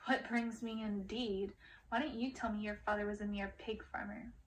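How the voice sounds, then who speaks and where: A woman's voice: mid-range voice, fairly even intonation, normal speed, distant mic, slight background noise, close to an everyday voice, poor audio. Woman reading as a haughty, scornful young storybook prince. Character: arrogant young prince, cold, scornful and bullying.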